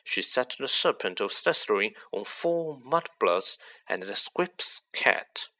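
A man voicing a cold, manipulative teenage boy sorcerer who talks softly and gloats. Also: mid-range voice, animated, slow. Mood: gloating, silky. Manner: silky, soft and gloating.

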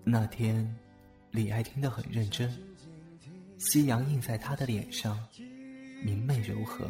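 A man's voice: lowish voice, steady tone, unhurried, over music. A man acting a kind, easygoing young student in an audio drama, casual and a little bashful. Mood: tender.

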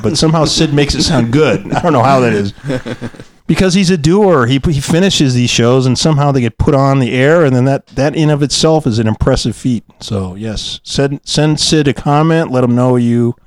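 A man's voice: medium pitch, no echo. Rambling man chatting casually, close dry sound. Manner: hesitant, meandering, jokey. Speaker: podcast co-host. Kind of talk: casual rambling after-show banter.

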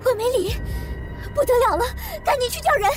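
A woman's voice: very high-pitched, lively intonation, brisk. A woman playing a bossy, precocious schoolgirl of about ten in a radio drama, knowing and exclamatory. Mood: panicked, urgent. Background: music.

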